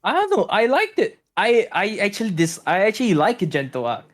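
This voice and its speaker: A man's voice: high-pitched, huge pitch swings, a little fast. A young man co-hosting a podcast, chatting casually, teasing and laughing easily. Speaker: podcast co-host. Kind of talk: casual chat.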